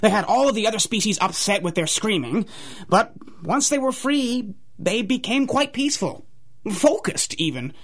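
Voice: mid-range voice, animated, normal speed. A performer playing an earnest, fussy zoo keeper in a 1930s-style radio drama, prim, informative and a little hesitant. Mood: earnest recalling.

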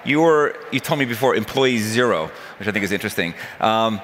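A man's voice: lowish voice, animated, fairly quick, a bit bright. A middle-aged man hosts a conversation on a big conference stage, wearing a headset mic. His questions wander and restart as he feels his way to the point. A faint hum lies under his voice. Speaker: interviewer, investor.

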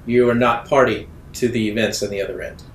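A man working as game master, narrating a tabletop role-playing game deliberately, with dramatic pauses. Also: on the low side, steady tone, reverberant, slight background noise.

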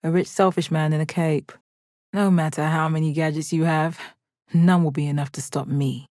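An actress voicing a calm, stoic alien warrior woman in a video game, with measured, deadpan, formal phrasing and a confident, kind temper. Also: deep voice, dry recording, studio quality, natural voice. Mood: stern, dry. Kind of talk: video game character voice lines.

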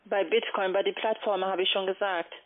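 A woman's voice: mid-range voice, steady tone, moderate pace. Woman w doing phone sales, mid voice, thin tinny line. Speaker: call centre agent. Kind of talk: telephone sales pitch.

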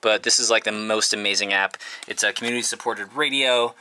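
Bright-voiced man vlogging while he works. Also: on the low side, steady tone, a little fast, clean tone. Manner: informal, rambling, talks while working. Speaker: vlogger, host. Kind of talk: casual DIY vlog narration to camera. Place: inside a converted school bus and outdoors beside it. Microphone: handheld camera at arm's length.

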